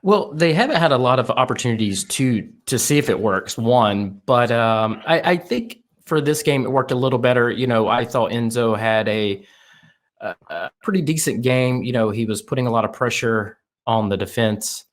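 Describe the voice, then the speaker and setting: A man hosting a casual soccer podcast, eager and talking in quick bursts as he asks his guest questions. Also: mid-range voice. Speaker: podcast host.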